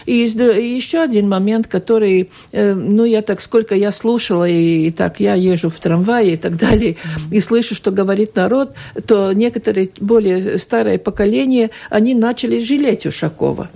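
A woman speaking as a guest on a radio panel discussion, conversational and animated, often checking in with "right?". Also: medium pitch, moderate pace, vocal fry, hardly any pauses.

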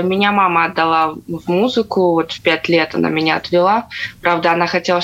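A woman's voice: on the low side, fairly bright tone, dull audio. A woman speaking as an interview guest in casual, reflective talk. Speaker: interview guest, theatre accordionist.

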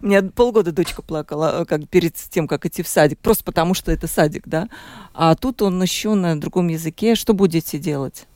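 A woman hosting a radio discussion, asking guests questions in a brisk, conversational way. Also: fairly low pitch, a little mellow, no echo, clean studio sound. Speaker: radio host.

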